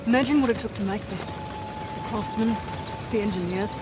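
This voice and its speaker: A woman's voice: mid-range voice, normal speed, music in the background, natural voice. A woman playing a blunt, no-nonsense female mercenary in a video game cutscene, with a dry, clipped, critical manner. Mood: observant, matter-of-fact.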